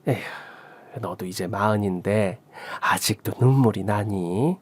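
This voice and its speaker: A man's voice: fairly low pitch, a little slow, pitched below the performer's own voice. A man voicing an affectionate, bossy elderly mother-in-law who scolds fondly, in a radio story. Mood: fond scolding. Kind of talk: Korean radio story acting.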